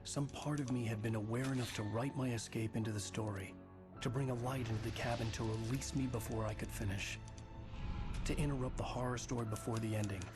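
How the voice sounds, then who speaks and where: A male actor playing a haunted, weary novelist, narrating a video game in a brooding, measured way. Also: medium pitch, close to an everyday voice. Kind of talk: scripted first-person game narration. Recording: loud music under the voice.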